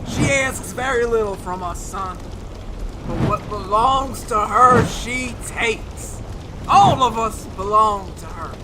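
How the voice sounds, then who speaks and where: An actor playing a stern, prophetic dead friend with solemn, commanding delivery in an old-time radio horror play. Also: male voice, high-pitched. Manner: solemn, commanding, drawn-out proclaiming. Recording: clearly noisy radio drama recording.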